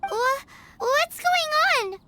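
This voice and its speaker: An actress voicing a tiny, cheeky, childlike floating fairy companion with a squeaky voice in an anime video game dub. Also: female voice, extremely slow, heavy background noise, heavily acted. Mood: alarmed. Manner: cheeky, excitable.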